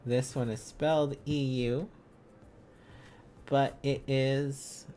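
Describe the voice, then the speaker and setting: A man's voice: medium pitch, level tone, drawn-out words, warm tone, a little hiss or hum. A man hosting a casual livestream, thinking aloud as he studies, in a rambling, silly, exclaiming manner. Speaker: livestream host.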